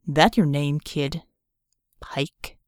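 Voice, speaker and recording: A cool male operative, played by a woman narrator, voiced low and close in a dry audiobook sound. He talks like a businessman, with smooth condescension and open disdain. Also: extremely slow, no echo. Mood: condescending.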